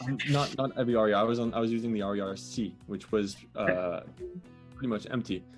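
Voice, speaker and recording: Young man, low voice, hesitant, lots of fillers, video call, faint music behind. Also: fairly even intonation, brisk. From born in the US, raised in France. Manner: hesitant, many fillers and restarts. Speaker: guest, digital nomad. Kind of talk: casual interview chat.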